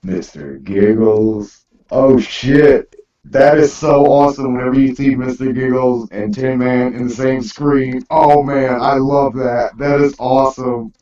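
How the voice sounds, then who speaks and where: A man's voice: medium pitch, fairly even intonation, measured pace, dark tone. A man in casual group chat, rambling along in a slangy way. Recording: distant, echoey, dull mic.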